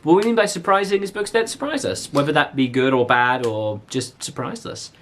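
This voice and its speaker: A man hosting a book vlog in a casual, animated, opinionated and exclamatory way. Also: mid-range voice, brisk, slight background noise.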